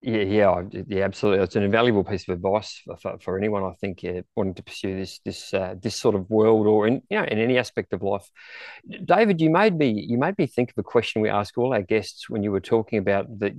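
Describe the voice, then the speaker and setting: A man's voice: on the low side, quick pace, darkish tone, no room sound, clean studio sound. A man hosting a podcast, interviewing conversationally in wordy, meandering phrases.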